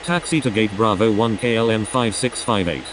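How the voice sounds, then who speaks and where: Clear humming noise backs a fairly low male voice. A man plays a sober airline pilot in a game, delivering businesslike radio phraseology. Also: moderate pace, lightly acted. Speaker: pilot on air traffic radio. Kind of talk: clipped procedural radio readbacks in a simulator game. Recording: clearly noisy, steady background hum.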